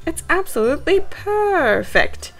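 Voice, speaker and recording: A woman's voice: very high voice, very animated, a little slow, soft background music, pitched above the performer's own voice, heavily acted. A woman voicing a friendly, effusive male cat who is an artist in a storybook. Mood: delighted.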